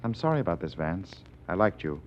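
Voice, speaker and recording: An old, muffled radio drama recording of a bitter murderer. The low voice swings dramatically, grave and menacing, with cold regret underneath. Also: male voice, very animated, fairly quick. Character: cornered, bitter male murderer, coldly regretful. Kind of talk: 1940s radio mystery acting.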